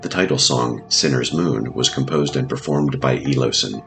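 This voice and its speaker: A man's voice: rumbling low, moderate pace, a bit bright. A man narrating a scripted horror audio drama in an ominous, literary manner. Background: music.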